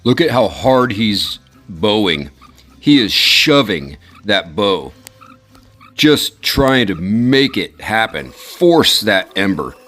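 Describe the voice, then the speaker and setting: A man's voice: fairly low pitch, very animated, takes it slowly, a bit bright, faint music behind. A man giving instructional commentary, speaking directly and encouragingly as he coaches. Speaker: instructor and video host.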